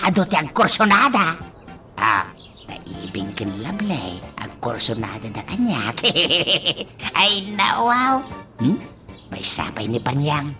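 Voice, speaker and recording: A woman's voice: low-pitched, sing-song, slow, music in the background, exaggerated voice. A woman playing a vain, teasing comic character in an Ilocano radio drama, full of exclamations. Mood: smug amusement. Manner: exclamatory, catchphrase-prone, laughing.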